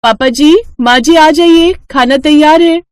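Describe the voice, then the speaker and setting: A woman's voice: high-pitched, a little slow, voice pushed up. A woman voicing a young new bride in a family storybook tale, deferential, earnest and eager to please. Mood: calling out. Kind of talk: acted Hindi family storybook dialogue.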